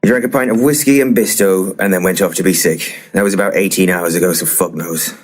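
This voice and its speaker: Deep, grumpy, boozy ghost hunter. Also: male voice, fairly even intonation, brisk, breathy edge. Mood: deadpan. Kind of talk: full-cast comic audio drama.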